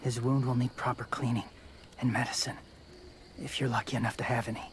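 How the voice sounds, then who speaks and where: Weary young male wanderer played by a man for game drama: gentle, guarded, clipped lines, very flat delivery, faint outdoor noise. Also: medium pitch, brisk, lightly acted. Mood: weary, subdued. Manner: terse, clipped fragments, subdued. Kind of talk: restrained video game drama acting.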